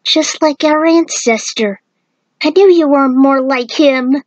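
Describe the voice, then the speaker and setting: Woman playing a six-year-old boy, raised pitch, tender, earnest, admiring, storybook character, dry sound. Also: slow pace, dry recording.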